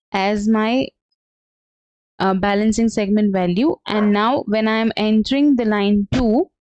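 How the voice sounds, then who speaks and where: Woman software trainer, dull lo-fi recording. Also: a little high, dry recording. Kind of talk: screen-share software tutorial, unscripted explanation. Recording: dull, lo-fi, dry close sound.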